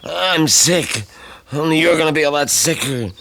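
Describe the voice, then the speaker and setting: A man acting as a sick, winded, reluctant henchman in an old radio mystery, speaking in halting, breathless phrases. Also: on the high side, gravelly, bright, forceful tone. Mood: urgent, weary. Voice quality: wheezy, winded. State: sick, coughing and short of breath. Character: sick, reluctant male lodger turned menacing henchman. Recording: noisy archival radio drama recording.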